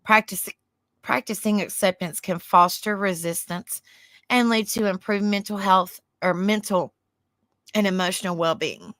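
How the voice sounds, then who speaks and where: A woman with a Southern US accent and a soft, soothing voice hosts a livestream. She chats in a rambling way, reads aloud and answers viewer comments. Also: mid-range voice, unhurried, no room sound. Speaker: livestream host. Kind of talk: casual livestream chat, reading aloud.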